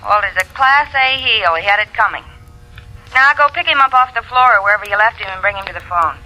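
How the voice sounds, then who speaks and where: An actress playing a sardonic, brisk, wisecracking city woman on the telephone in a 1940s radio drama. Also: mid-range voice, sharp tone, soft background music, low-quality recording.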